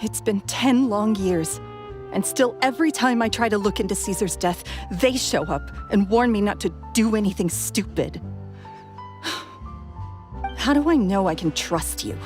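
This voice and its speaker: A woman's voice: mid-range voice, animated, a little slow, a little mellow, natural voice. Actress as a guarded, bitter woman. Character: wary, bitter, defensive woman seeking answers. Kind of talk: video game voice acting. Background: music.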